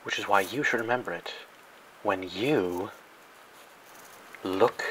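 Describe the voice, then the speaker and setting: A man narrating a parody educational film in a mock-serious, grandiose and deadpan way. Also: on the low side, slow, slight background noise, rough recording. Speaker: narrator and host.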